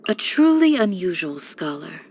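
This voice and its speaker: Calm, devoted young desert guardian, noisy backdrop. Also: female voice, fairly low pitch. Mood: calm, thoughtful. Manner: measured, gentle, solemn and formal. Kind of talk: scripted game character voice lines.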